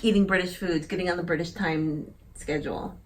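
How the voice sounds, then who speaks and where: A woman co-hosting a casual chat, talking in an animated, emphatic way as she reacts to a clip. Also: lowish voice, brisk, poor audio. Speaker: co-host.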